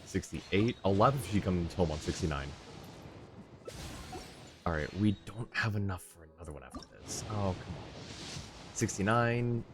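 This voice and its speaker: A man's voice: deep voice, animated, normal speed, long pauses. A man doing casual livestream gameplay commentary, muttering his thoughts aloud in short bursts and talking to chat. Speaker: game live streamer. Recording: game music behind the voice. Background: video game music.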